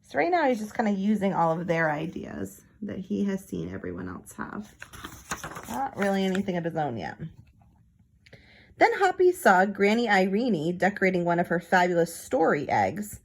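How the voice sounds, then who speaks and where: Woman, medium pitch, storybook read-aloud, casual commentary, explanatory asides for children. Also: sing-song, moderate pace, gravelly, a little hiss or hum. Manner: explains pictures and words to young listeners. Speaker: picture book read-aloud reader.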